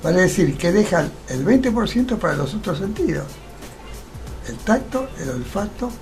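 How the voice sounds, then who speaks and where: An older man with a Rioplatense Argentine accent talks as a radio interview guest. His voice sits a bit high and has a lot of gravel in it. He argues emphatically, posing rhetorical questions, with faint music behind him. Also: very animated, moderate pace, a little mellow. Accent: Rioplatense Argentine Spanish. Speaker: interview guest, theatre actor. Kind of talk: radio interview, opinionated conversation.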